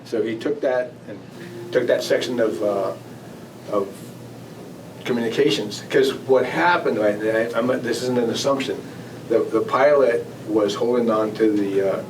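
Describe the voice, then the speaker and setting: A man speaks informally at a meeting, often rephrasing as he explains things. He is some way from the microphone, and there is clear background noise. His voice sits at an average pitch. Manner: halting, conversational, frequently rephrasing and repeating words. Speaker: former air traffic control manager, guest speaker. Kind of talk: informal presentation with audience questions. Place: club meeting room. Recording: distant mic, noisy meeting room.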